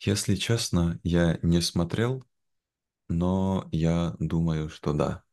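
A young man speaking in a careful, measured way in a simplified conversation for learners, on a video call from home. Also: low-pitched, fairly even intonation, darkish tone. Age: young adult. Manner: measured, careful, deliberate phrasing. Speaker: guest, language teacher. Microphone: video call, wearing headphones.

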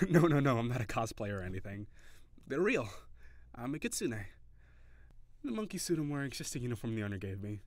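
A man playing a cheery, shy, flirty kitsune barista in an intimate audio roleplay, speaking hesitantly and giggly, with gentle encouragement. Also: medium pitch, no echo, close to an everyday voice. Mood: amused.

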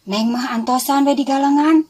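A woman voicing a caring village mother in a children's story, practical, motherly and gently bossy as she instructs. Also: sits high, moderate pace, close to an everyday voice. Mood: firm, chiding.

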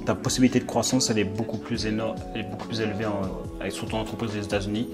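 A man's voice: on the low side, flat delivery, very fast, over music. A man in his thirties hosting an interview, asking loose, rambling questions and often answering with short "d'accord" and "ouais". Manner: friendly, many short acknowledgments, rambling questions. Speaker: interviewer, host. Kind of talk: casual interview chat. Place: bright living room, indoors, on a sofa.

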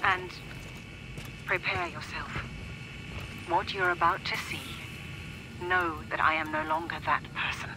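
A man's voice: a little high, steady tone, a little slow, pauses often, background noise, natural voice. A man voicing a remorseful scientist who urgently guides the hero by radio in a video game. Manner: urgent, pleading. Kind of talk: video game cutscene acting. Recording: game audio over loud music.

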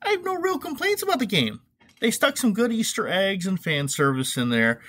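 A man's voice: high-pitched, very animated, normal speed, fairly bright tone. A middle-aged man hosting a casual, comedic movie review podcast in an opinionated, wry, informal way. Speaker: podcast host.

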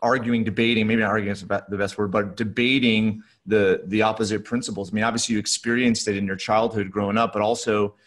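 A middle-aged man with a fairly low voice hosts an interview podcast over a video call. He talks conversationally, often stopping to rework his phrasing. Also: level tone, fast, few pauses, fairly bright tone. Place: small furnished room at home or office, on a video call.

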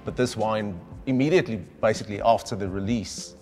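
A man's voice: fairly low pitch, a little fast, a little mellow, soft background music. A middle-aged man, speaking as a wine specialist in an enthusiastic, explanatory way, standing in a decorated indoor room. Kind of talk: conversational presentation.

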